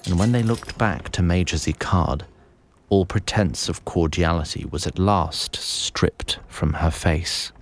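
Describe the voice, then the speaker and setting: A man's voice: low-pitched, measured pace. A man playing a wry, chatty storyteller, narrating a fantasy audio drama in formal, wordy prose.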